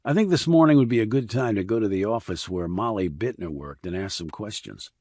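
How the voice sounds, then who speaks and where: A man's voice: fairly low pitch, expressive, a little fast, a little mellow, no echo, studio quality. A man voicing a terse, probing military investigator with clipped, direct questioning in an audiobook. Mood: probing, matter-of-fact. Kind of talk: audiobook character dialogue.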